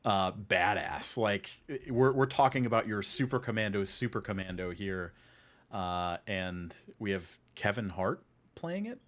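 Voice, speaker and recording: Male podcast host, fairly low voice, animated pitch movement, emphatic casual banter. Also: a little slow. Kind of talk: casual chat.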